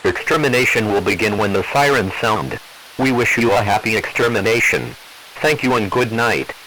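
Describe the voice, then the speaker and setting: A man reading a formal emergency alert in a measured, impersonal, official way. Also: lowish voice. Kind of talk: formal scripted emergency broadcast. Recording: faint radio hiss.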